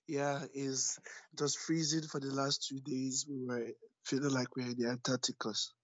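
A man's voice: mid-range voice, flat delivery, moderate pace, no echo. A man in his late twenties with a Nigerian accent talks as an interview guest. His line sounds dull and dry, with no room sound at all. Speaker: interview guest, author and activist. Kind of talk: casual interview.

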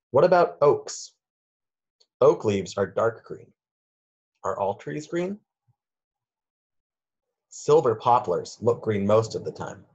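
A man with a lowish voice reads a picture book aloud to young children, taking his time over each simple phrase. His questions lilt upward in a read-aloud way. The recording is dull and lo-fi, and his voice comes through a little husky. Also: darkish tone.

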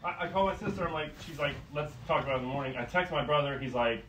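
A man in his late thirties, an audience member answering a comedian, in a calm, matter-of-fact, understated way. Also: a little high, very fast. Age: 30s. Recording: distant voice in a roomy club with crowd.

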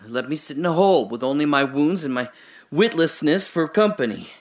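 An actor playing a confused amnesiac man trapped alone in a hole, rambling and swinging between giddy laughter and hushed muttering. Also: a little high, expressive, brisk, close to an everyday voice. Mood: anxious rambling. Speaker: audio drama lead actor. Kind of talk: solo audio drama monologue.

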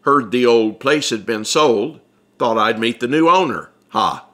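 A man puts on a brash middle-aged big shot for an audiobook. He is breezy and needling, with a swaggering delivery. The voice is bright and forceful and moves up and down freely. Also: medium pitch, animated, measured pace. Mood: offhand. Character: brash, teasing middle-aged male radio celebrity. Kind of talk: audiobook character dialogue.

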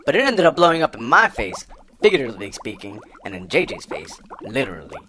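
A man's voice: mid-range voice, huge pitch swings, fast, bright, forceful tone. Man playing a glum young amateur scientist, noisy backdrop. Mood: sheepish. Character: dejected boy amateur scientist and would-be rapper. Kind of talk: comedic audio drama acting.

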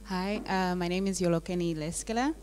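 Soft-spoken lady with a lowish voice, quick intro on a handheld mic. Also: over music. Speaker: volunteer. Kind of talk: self-introduction. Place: meeting room.

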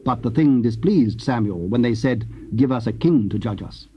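A man narrating scripture solemnly, in a formal, measured manner. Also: mid-range voice, animated, soft background music. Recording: muffled, hissy old cassette recording.

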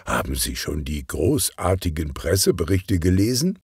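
A man's voice: sits low, very animated, normal speed, darkish tone, slightly rough, no room sound, studio quality, heavily acted. A man voicing an effusive, grandiose police director in a children's audiobook, gushing and pompous.

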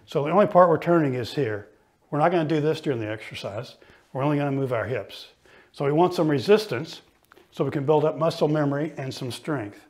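A middle-aged man coaching ski fitness, explaining each exercise calmly while he demonstrates it in a studio. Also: fairly high pitch, brisk. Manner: patient, explanatory, talks while moving.

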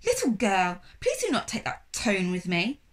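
A woman's voice: mid-range voice, wildly swooping pitch, a little slow. A woman voicing a sneering, dismissive French male shop assistant in a storybook reading, with a haughty, disdainful manner.

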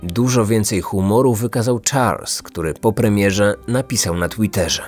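A man narrates a true crime podcast from a script. His voice sits low, with music playing behind it. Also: moderate pace, a little mellow, slightly rough.

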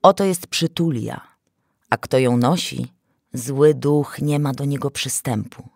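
A woman's voice: very deep voice, a little slow, gravelly, darkish tone, slightly rough, no echo, studio quality, heavily acted. A woman voicing a protective, shrewd village mother in a folk tale, firm and instructive. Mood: knowing, explaining.

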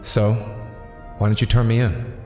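An actor playing a guarded, weary rich man with a secret vigilante identity, terse and halting, in an audio drama. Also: on the low side, level tone, dark tone, lightly acted. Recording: echoey reverberant hall, faint music.

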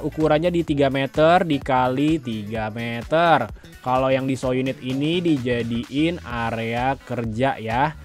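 A man hosts a property tour in an informal, chatty way, speaking straight to the viewer. His voice sits at an average male pitch. Music plays behind his narration. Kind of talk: casual walkthrough narration of a house tour. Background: background music.

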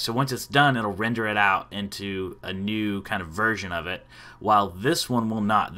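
Bright-voiced man teaching software. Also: fairly low pitch. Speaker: tutorial host. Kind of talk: casual software tutorial.

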